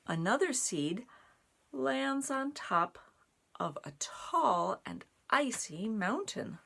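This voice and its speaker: A woman reading a children's picture book aloud, phrasing it deliberately in short pieces with animated emphasis. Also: fairly high pitch, gravelly. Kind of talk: expressive read-aloud for young children.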